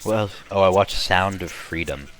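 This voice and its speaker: A young man with a slightly raspy voice, chatting casually and rambling while commenting on his gameplay. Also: low voice, normal speed, a bit bright. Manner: rambling, chatty, many restarts and fillers. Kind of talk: casual let's-play chat.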